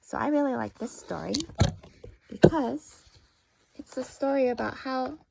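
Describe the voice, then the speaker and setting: A woman reading a story aloud to young children as a teacher, often dropping into hushed, breathy asides. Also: high-pitched, expressive, a little slow, no room sound, low-quality recording. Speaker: first grade teacher reading a picture book aloud.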